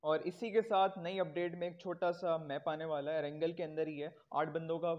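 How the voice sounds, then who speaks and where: A man's voice: a little high, steady tone, moderate pace, highs cut off. A man giving casual commentary as a mobile gaming video creator. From Pakistan.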